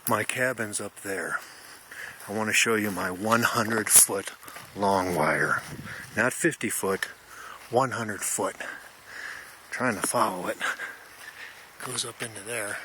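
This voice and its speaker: Low-voiced man in noisy outdoor audio. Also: slow pace, long pauses. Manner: folksy, rambling explanation. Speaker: amateur radio hobbyist. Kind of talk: casual handheld video tour. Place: outdoors in wooded mountain country. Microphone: handheld camera microphone.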